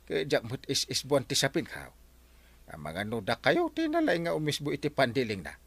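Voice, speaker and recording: An actor playing a brash, joking husband who shouts and mocks in a radio comedy drama. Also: male voice, mid-range voice, huge pitch swings, fairly quick, husky, dry recording, exaggerated voice. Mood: mocking. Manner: loud, swooping exclamations.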